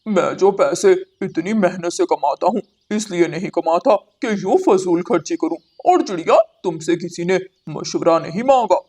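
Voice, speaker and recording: A man's voice: high-pitched, expressive, normal speed, hardly any pauses, a little mellow, breathy edge. A man playing a stingy, grumpy male crow husband in a Hindi cartoon, curt and dismissive. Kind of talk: Hindi cartoon story dialogue.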